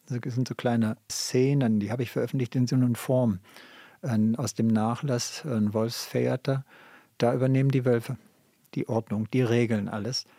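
A man's voice: mid-range voice, vocal fry, warm tone, breathy edge, no echo, studio quality. An older man answering interview questions as a literary scholar, searching for his phrasing and repeating points for emphasis. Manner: halting, searching, emphatic repetition. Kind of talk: reflective spoken interview answers.

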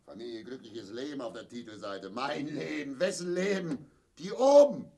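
An actor playing a bitter, volatile East German husband in a grotesque radio play, erratic between muttering and shouting. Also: male voice, medium pitch, lively intonation, moderate pace, vocal fry. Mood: bitter, agitated.

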